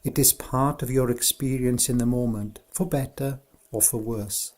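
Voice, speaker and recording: A man narrating a scripted guided meditation in a soothing, unhurried, gentle way, as an instructor. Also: medium pitch, fairly even intonation.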